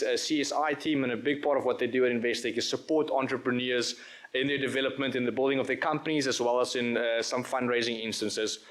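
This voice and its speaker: Male event host, mid-pitched voice, conversational intro with fillers. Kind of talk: introducing a speaker.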